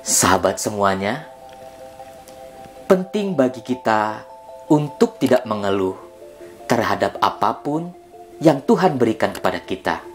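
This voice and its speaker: An animated male storyteller narrating for children, his voice swooping up and down with dramatic emphasis. He speaks slowly and deliberately, and faint music plays underneath. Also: lowish voice.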